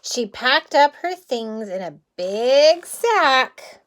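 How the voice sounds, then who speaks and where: Female kindergarten teacher for picture-book read-aloud: higher voice, unhurried pace, expressive, simple explanations and questions to the listeners. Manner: expressive storytelling, often chuckling.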